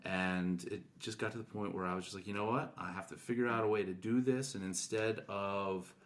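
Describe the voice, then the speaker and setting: A man's voice: on the low side, steady tone, brisk, a bit bright. A man co-hosting a podcast, speaking in a casual conversational style. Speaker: podcast co-host.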